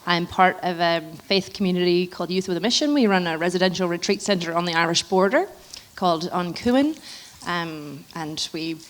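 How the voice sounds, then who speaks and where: A woman's voice: lowish voice, moderate pace, few pauses. An American woman, a panel guest, introducing herself in a friendly way and laughing easily. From United States. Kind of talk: panel discussion.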